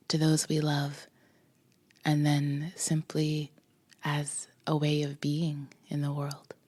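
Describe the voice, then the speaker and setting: Slow, low-voiced woman guiding meditation. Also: breathy edge, no echo. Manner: slow pauses between short phrases, gentle instruction. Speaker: meditation guide. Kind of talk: guided meditation. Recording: music behind the voice. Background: music.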